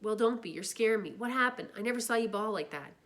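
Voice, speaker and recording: A woman reading a novel aloud, voicing a gentle, frightened teenage boy who speaks softly to his friend. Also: mid-range voice, lively intonation, quick pace, voice pushed up, lightly acted. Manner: soft, reassuring.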